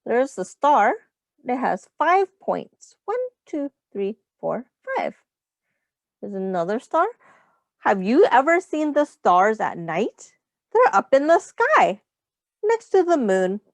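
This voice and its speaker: A woman reads a picture book to young children at a slow pace. Her voice sits fairly high and moves in animated swoops. She explains things simply, like a teacher, and pauses to ask questions. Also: gravelly, no echo. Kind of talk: read-aloud storytime for young children.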